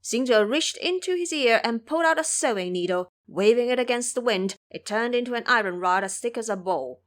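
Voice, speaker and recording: A woman narrating a scripted audio drama as an expressive storyteller. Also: fairly high pitch, brisk.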